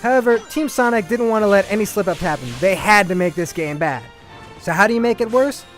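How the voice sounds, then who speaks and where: Male reviewer, notably high voice, sarcastic scripted narration with exaggerated gripes, music bed. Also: expressive, brisk, fairly bright tone. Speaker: video game reviewer. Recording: music behind the voice. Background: background music.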